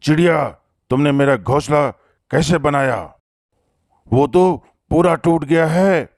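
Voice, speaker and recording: Man voicing a bossy, highish-pitched cartoon hawk. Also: slow pace, no room sound, clean studio sound. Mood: angry, demanding. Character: bossy, scheming male hawk bully. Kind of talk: Hindi cartoon story voice acting.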